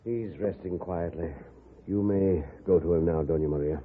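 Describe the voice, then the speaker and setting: An actor playing a grave, matter-of-fact frontier doctor, measured and clinical, in an old radio drama. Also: male voice, low voice. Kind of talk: 1950s radio western acting. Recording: muffled old radio recording.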